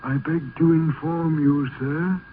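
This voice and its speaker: Slow, solemn gothic manservant. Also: male voice, fairly high pitch, steady tone, phone-quality sound. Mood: deferential, grave. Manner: slow, stiff, formal. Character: gaunt, solemn, deferential manservant of gloomy house. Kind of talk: old-time gothic radio drama acting. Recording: thin, faintly noisy vintage radio recording.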